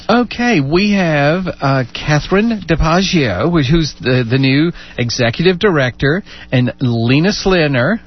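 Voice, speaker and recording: A man co-hosting a radio show, chatty and animated. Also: fairly high pitch, a little slow, hardly any pauses. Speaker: radio co-host. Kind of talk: radio interview. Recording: dull radio studio sound.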